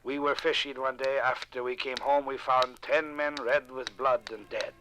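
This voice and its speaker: Man acting a solemn, bright-voiced Norse explorer. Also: medium pitch, fairly even intonation, moderate pace, a little hiss or hum, natural voice. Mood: solemn, grave. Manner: solemn, declamatory recitation. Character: solemn medieval Norse explorer reciting a grim account. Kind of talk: 1950s radio drama acting.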